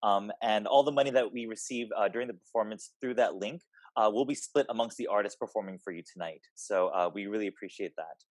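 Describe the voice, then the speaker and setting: Halting man with a dry, close sound. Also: fairly low pitch, no echo. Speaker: theater director. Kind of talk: informal spoken introduction to a show.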